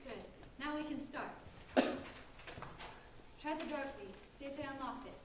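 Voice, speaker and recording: Woman playing determined bossy teenage girl, stage drama, brisk orders, very echoey, noisy distant recording. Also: high-pitched. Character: bossy determined sixteen-year-old girl leading her friends. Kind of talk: staged reading of a play.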